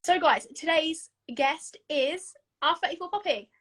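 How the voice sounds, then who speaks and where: A woman's voice: high-pitched, a little slow, a bit bright. A young woman, hosting a casual live video-call interview, chatty and giggly with lots of ums. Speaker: podcast host.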